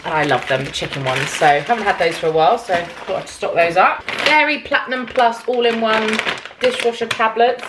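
Young woman, casual haul chat, upbeat, lowish voice, camera mic in a home kitchen. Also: a little hiss or hum. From England. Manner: chatty and upbeat, lively swings in pitch. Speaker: vlogger.

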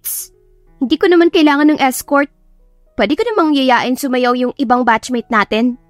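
A woman's voice: high-pitched, moderate pace, no room sound, voice pushed up, lightly acted. A woman voicing a bubbly, teasing young female best friend with a Filipino accent in a chirpy, playful manner. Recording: music behind the voice.

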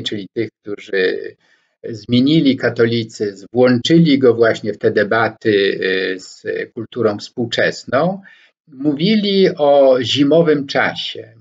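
A man in his sixties from Poland, giving a reflective lecture to camera from his home study, pausing often with hesitation sounds as he picks his words. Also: medium pitch, slightly rough. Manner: slow and deliberate, frequent hesitation fillers, gestures with both hands. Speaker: scholar commentator. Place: home study with bookshelves, indoors. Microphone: webcam or laptop microphone, head-and-shoulders framing. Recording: dull lo-fi webcam sound.

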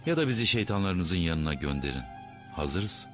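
Heroic cartoon lead: very low, earnest and firm, with lively intonation over music. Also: male voice, moderate pace. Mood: resolute. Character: noble, principled muscular young male hero. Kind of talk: dubbed cartoon acting. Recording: music behind.

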